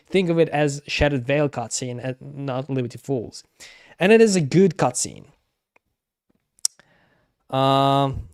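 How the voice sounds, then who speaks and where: A man's voice: a little high, a little mellow. Hesitant male gaming streamer. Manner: hesitant, thinking aloud, repeats himself. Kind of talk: casual live stream commentary.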